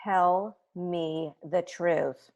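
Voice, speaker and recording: A woman's voice: lowish voice, steady tone, drawn-out words, a little mellow, muffled recording. A woman playing a chirpy, doting, pushy sitcom mother in a table read over a video call. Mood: probing. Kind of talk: comedy script table read. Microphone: computer on video call.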